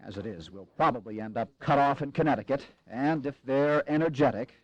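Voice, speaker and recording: A man playing a pragmatic, weary army commander in a historical audio drama, speaking in a measured, grave and formal way with wry resolve. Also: medium pitch, no room sound, lo-fi sound, lightly acted. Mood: resolute.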